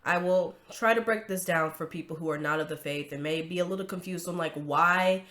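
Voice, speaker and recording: A woman's voice: lowish voice, a little fast, fairly bright tone. A woman hosting a commentary video, talking casually with a stuffy nose from a cold, emphatic and chatty with frequent tag questions.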